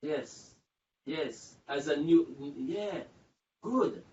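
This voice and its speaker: A man's voice: on the high side, animated, very slow, mellow. A male interview host who laughs easily and asks long, rambling questions with patois phrasing. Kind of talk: casual interview. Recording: distant echoey lo-fi with faint room noise.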